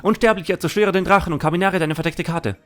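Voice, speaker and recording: A man's voice: high voice, fast talker, fairly bright tone, voice pushed up. A man voicing a cocky, sneering duelist who taunts and boasts, in an anime fan audio drama. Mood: gloating. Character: cocky, sneering male Thunder Dragon card duelist.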